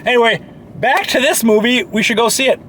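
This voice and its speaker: A man reviewing movies in casual, profanity-laced banter, talking in an animated way. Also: extremely high pitch, a little fast. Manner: animated, sweary. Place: inside a car. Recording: noisy, vehicle ambience.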